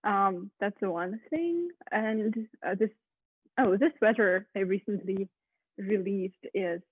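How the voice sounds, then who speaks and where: A woman's voice: medium pitch, slow, breathy edge, dry recording. A woman, a guest knitwear designer chatting casually, full of ums and uhs. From Ukraine. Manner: chatty, many ums and uhs, laughs often. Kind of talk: casual interview chat. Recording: tinny phone-like video call.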